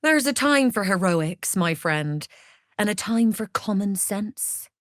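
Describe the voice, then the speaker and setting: A woman's voice: medium pitch, lively intonation, slow, no room sound, studio quality. An actress playing a scheming, commanding female mercenary leader in a video game, persuasive and calculating. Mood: smug, persuasive. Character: scheming, smooth-talking female mercenary leader plotting a takeover. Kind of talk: video game character acting.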